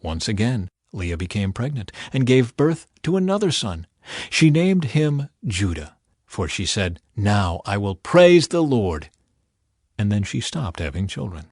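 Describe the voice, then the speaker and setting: Male narrator for an audio Bible, expressive storytelling with animated intonation, dry close sound. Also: on the low side, normal speed, breathy edge, dry recording.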